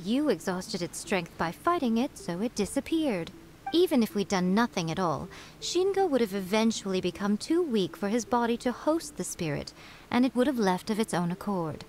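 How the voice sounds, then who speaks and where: A woman's voice: on the high side, very animated, normal speed, a little hiss or hum, rough recording. A woman voicing a sly, wise fox-spirit shrine priestess in a video game, composed and lightly teasing as she lectures.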